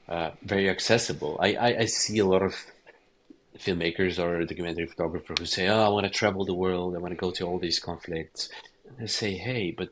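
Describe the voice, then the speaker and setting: A man with a Ukrainian accent answering interview questions in a halting way, often restarting and repeating his words. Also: deep voice, slightly rough. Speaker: documentary director, interview guest.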